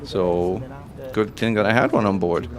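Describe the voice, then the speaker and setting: A man from Italy whose first language is Italian, giving excitable live commentary as he plays, talking through each move. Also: on the low side, normal speed. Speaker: streamer and commentator.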